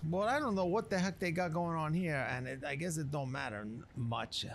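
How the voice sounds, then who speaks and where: A man's voice: fairly high pitch, a little fast, slight background noise. A man doing rambling in-character game commentary in a colloquial, streetwise wiseguy manner. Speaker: gameplay narrator playing a storyteller character.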